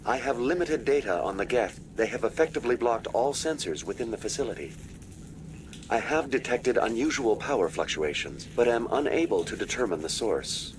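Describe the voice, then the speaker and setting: A man voicing a polite, detached virtual assistant in a video game, speaking in a formal, measured way. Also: mid-range voice, animated, a little hiss or hum, natural voice. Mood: impassive, formal. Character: polite, impassive male virtual assistant, unfailingly procedural.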